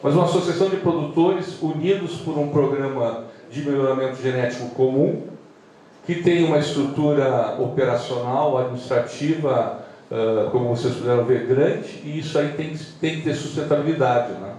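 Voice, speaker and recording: A southern Brazilian man talks to an audience in a conversational, direct way. His tone is soft-edged and mellow. He is heard from a distance in a strongly reverberant room, as someone moderating an event would be. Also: mid-range voice, moderate pace. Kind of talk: informal speech to an audience. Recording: echoey room, far mic, faint noise.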